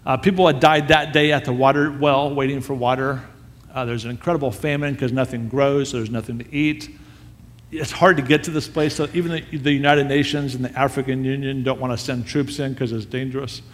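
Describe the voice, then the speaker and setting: A man, a missionary, telling a story in a sermon with animated, self-deprecating humor. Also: medium pitch, fairly quick. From United States. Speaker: missionary speaker. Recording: long hall reverb.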